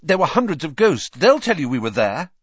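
A male narrator plays a defensive schoolboy in an audiobook. The voice is lifted and lively, earnest in its protest. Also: a little high, moderate pace, husky, dry recording, dull audio, voice pushed up, exaggerated voice. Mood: anxious protest.

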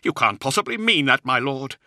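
Man acting a shaken, protesting warrior, pitched up. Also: big pitch swings, fairly quick, pushed and bright, dry recording, clean studio sound. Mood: numb disbelief. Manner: pleading, urgent. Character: shaken, earnest, protesting young male warrior. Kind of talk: dramatic audiobook character acting.

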